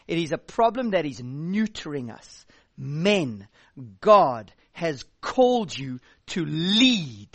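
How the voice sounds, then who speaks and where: A man's voice: sits high, a bit bright, no echo. A South African youth pastor in his thirties preaching a sermon, direct and blunt, with a dry joking edge.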